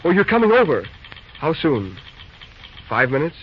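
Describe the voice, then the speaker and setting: A steady railroad foreman. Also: a little high, normal speed, breathy edge, full character voice. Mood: anxious. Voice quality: commanding, slightly stilted reading. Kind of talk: 1940s radio drama acting. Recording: dull lo-fi old radio recording with faint noise.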